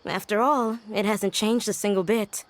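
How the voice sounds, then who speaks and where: A woman playing a young adult scholar in a video game, earnest and reflective, speaking in a measured storytelling way. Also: medium pitch, steady tone, close to an everyday voice. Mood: groggy, puzzled.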